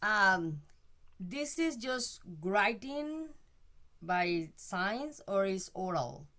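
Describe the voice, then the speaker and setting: A woman from Mexico whose first language is Spanish speaks slowly at a middle pitch. She joins an informal online meeting as a participant, choosing her phrasing carefully. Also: sing-song, sharp tone. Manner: careful, non-native phrasing.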